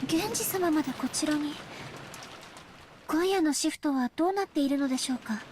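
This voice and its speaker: Woman acting as gentle young maid, very high voice, quick deferential speech, visual novel, rain over the voice. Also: close to an everyday voice. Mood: puzzled. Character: young maid, gentle, polite, eager to serve. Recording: clear rain noise over the voice.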